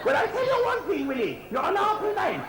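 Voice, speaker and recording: A man's voice: very high voice, huge pitch swings, brisk, a crowd in the background, exaggerated voice. A man playing a gossipy, scolding Irish countrywoman in an amateur comic pantomime, rambling and full of exclamations. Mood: scolding, indignant. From Ireland. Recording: echoey amateur stage recording.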